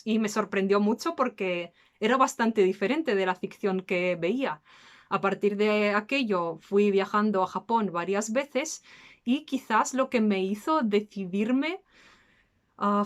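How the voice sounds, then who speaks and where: A woman in her early thirties, a native Russian speaker with a slight Russian accent, chatting casually as she answers questions as a channel host. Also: medium pitch. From Belarus, later raised in Spain. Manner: chatty, breaks into laughs.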